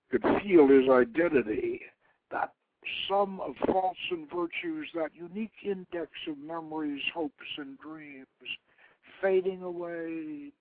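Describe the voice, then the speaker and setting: A man reading a story aloud as a narrator, in a theatrical, solemn manner that moves between hushed tones and swelling emphasis. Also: a little high, no room sound. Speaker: host reading his own novelette. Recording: phone-like internet radio sound.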